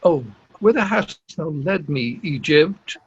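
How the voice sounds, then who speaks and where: An amateur actor reading a shamed, despairing older general in a Shakespeare play, halting and stumbling over his words. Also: fairly high pitch, lively intonation, slightly rough, heavily acted. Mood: bitter, ashamed. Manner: halting, stumbling reader with exclamations. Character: despairing, shamed older male general, grey-flecked and self-reproaching. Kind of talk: amateur Shakespeare read-aloud. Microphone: video call. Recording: dull lo-fi video call, faint music.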